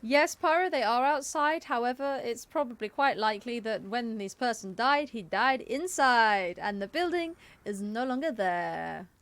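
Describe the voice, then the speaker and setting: A young woman live streaming with casual game commentary, musing out loud in a chatty, informal way. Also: a little high, expressive, normal speed, few pauses. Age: young adult.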